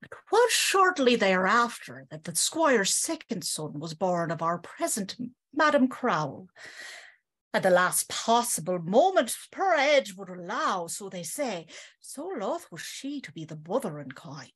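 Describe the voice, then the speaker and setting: A woman's voice: medium pitch, sing-song, measured pace, fairly bright tone, husky, heavily acted. A woman playing a secretive older servant telling tales in rustic, old-fashioned phrases for a gothic audio drama. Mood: hushed storytelling. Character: knowing, gossiping older female servant, secretive storyteller.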